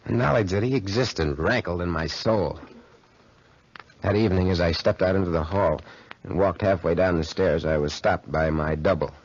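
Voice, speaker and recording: A tormented, low, husky man. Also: moderate pace. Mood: brooding. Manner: brooding, melodramatic. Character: tormented, bitter, arrogant man confessing a haunted past. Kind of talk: 1940s radio acting. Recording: muffled 1940s radio recording.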